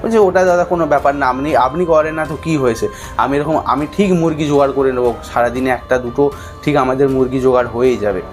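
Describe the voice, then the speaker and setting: A man's voice: mid-range voice, fast talker. A young man vlogger speaking unscripted to his viewers in an explanatory, cautionary way, with music behind him. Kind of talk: unscripted talk to camera, warning viewers.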